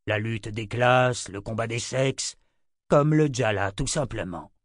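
A man's voice: medium pitch, a little slow, no room sound, clean studio sound, close to an everyday voice. A man reading an audiobook, voicing a cold, contemptuous emperor who lectures in a condescending tone.

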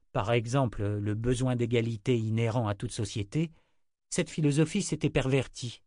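A man reading a fantasy novel aloud in a measured, deliberate way as its narrator. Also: mid-range voice, darkish tone, no echo, clean studio sound. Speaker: audiobook narrator. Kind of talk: scripted narration.

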